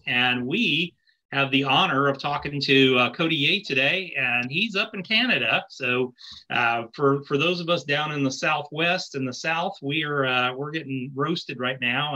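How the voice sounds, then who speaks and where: A man's voice: mid-range voice, pushed and bright, rough recording. A man hosting a podcast, introducing his guest in an upbeat, folksy way with many fillers. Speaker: podcast host.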